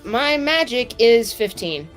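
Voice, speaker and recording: A woman's voice: fairly high pitch, sing-song, takes it slowly. A woman playing in a tabletop roleplaying show, chatting in a casual, improvised way. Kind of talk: casual improvised game chat. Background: faint music.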